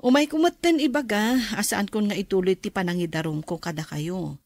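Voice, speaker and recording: A woman's voice: mid-range voice, a little fast, darkish tone, lightly acted. A woman playing a composed, forgiving schoolteacher in a radio drama, speaking in a considerate way. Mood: kindly.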